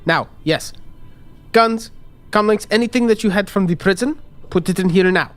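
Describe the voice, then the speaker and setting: A man voicing a brusque, cautious underworld fixer in a tabletop game, probing and commanding in halting phrases. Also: sits high, soft background music, close to an everyday voice. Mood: stern, cautious. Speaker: game master. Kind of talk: improvised tabletop roleplay.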